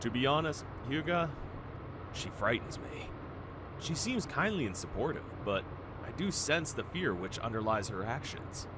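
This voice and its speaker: A man playing a grave, loyal first officer in a fan radio play, speaking in a measured, formal way. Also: mid-range voice, very animated, background noise, close to an everyday voice. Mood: troubled, confiding. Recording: music behind the voice.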